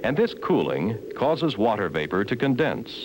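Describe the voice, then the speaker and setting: Low-voiced man narrating an educational film. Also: big pitch swings. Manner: measured, formal, instructional. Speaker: documentary narrator. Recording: 1970s film soundtrack with faint hiss.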